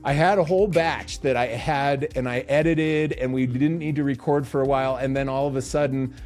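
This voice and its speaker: A man's voice: medium pitch, fairly even intonation, a little fast, a little mellow, soft background music. A man co-hosting a podcast in a studio, chatting casually and joking, often laughing. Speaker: podcast co-host. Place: recording studio.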